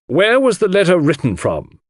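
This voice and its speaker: A pompous, relentless prosecuting barrister acted by a male narrator from an audiobook courtroom. He hectors and insists, his husky voice raised high and swinging in pitch. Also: wildly swooping pitch, moderate pace, no room sound, clean studio sound, exaggerated voice. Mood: hectoring, triumphant. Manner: hectoring, insistent cross-examination.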